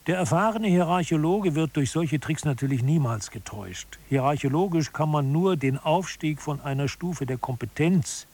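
A self-assured expert of absurd office science in a radio play. The voice is fairly high for a man and noticeably husky. He explains everything gravely, like a mock scholar giving instruction. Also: expressive, normal speed, few pauses, a little mellow. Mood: didactic, assured. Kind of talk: scripted audio drama lecture.

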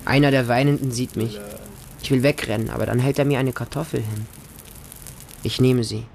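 A man's voice: mid-range voice, steady tone, normal speed, vocal fry, background noise, natural voice. A man playing a small, wary homeless boy who narrates his hungry street life in short, simple sentences for a radio drama. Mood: sombre. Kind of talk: radio drama monologue in present tense.

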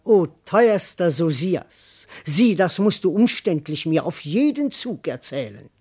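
A man's voice: sits high, sing-song, a little slow, a little mellow, husky, exaggerated voice. A male actor puts on a noble princess in a classical radio comedy. The delivery is affected and courtly, full of gushing graciousness, in formal verse. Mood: eager. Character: gracious princess Alkmene, imagined and mimicked by a servant.

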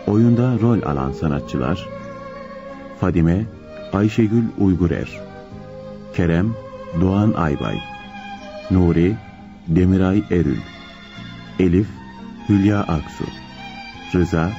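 A man reading scripted radio drama credits as an announcer. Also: deep voice. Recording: dull lo-fi radio recording, music behind. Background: prominent music with strings.

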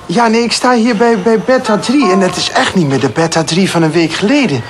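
A woman's voice: medium pitch, lively intonation, brisk, natural voice. A woman playing a plain-spoken farmer who rambles matter-of-factly about her cows in a mock phone-in sketch. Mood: earnest, puzzled. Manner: rambling, matter-of-fact, imitates cow sounds. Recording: noisy farm ambience with animals.

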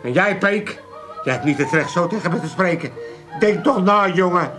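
High-pitched, melodramatic old Amsterdam father. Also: animated, moderate pace, over music. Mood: indignant. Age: older. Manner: theatrical, lamenting, exclamatory. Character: melodramatic, self-pitying old barfly father, wheedling and indignant. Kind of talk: 1980s comic radio play acting.

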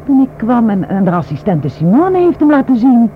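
Animated woman, tinny noisy recording. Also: fairly high pitch, normal speed. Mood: thoughtful. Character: concerned, thoughtful woman friend back from travels. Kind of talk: radio play acting. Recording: noisy, tinny narrow-band radio play recording.

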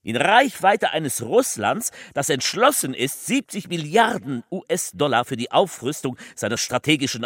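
Fervent politician with dramatic swells. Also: male voice, fairly high pitch, a bit bright, dry recording, exaggerated voice. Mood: grave, insistent. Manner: declamatory, deliberate pauses, emphatic swells and drops. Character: fervent male politician delivering a rambling, faltering public speech. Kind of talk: theatrical political oratory.

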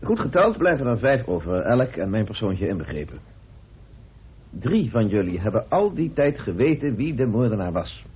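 A methodical detective confronting his suspects in a radio drama. His very husky voice moves freely in pitch and presses on insistently with deliberate pauses, on a muffled, phone-like old recording. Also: sing-song, exaggerated voice. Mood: challenging, insistent. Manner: deliberate, accusing, theatrical pauses. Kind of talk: radio play acting in Dutch.

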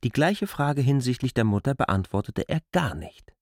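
Male literary narrator for radio drama, lively intonation, slightly low, dry acoustic. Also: moderate pace, no echo.

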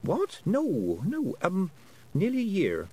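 A man's voice: high voice, wildly swooping pitch, slow pace, darkish tone, husky, voice pushed up, full character voice. A man reading aloud, voicing a sensible, practical young girl who speaks plainly and directly. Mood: level.

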